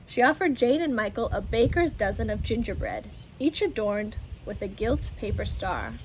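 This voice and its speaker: A woman reading a children's story aloud in an expressive storytelling style. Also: medium pitch, normal speed, slight background noise, low-quality recording.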